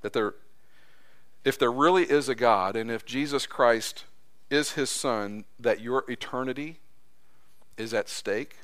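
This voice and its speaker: A man preaching a sermon in a church, impassioned, his voice climbing high as he acts out quotes, then dropping low and soft. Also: measured pace, pauses often. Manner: impassioned, voice rising high when acting out quotes. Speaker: pastor.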